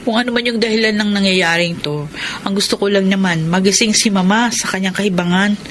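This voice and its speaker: A woman's voice: mid-range voice, fairly even intonation, fairly quick, a bit bright, a little hiss or hum, natural voice. A Filipino woman acting an aggrieved grown daughter in a radio drama. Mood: pained, resentful. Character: aggrieved grown child pleading over an erring mother.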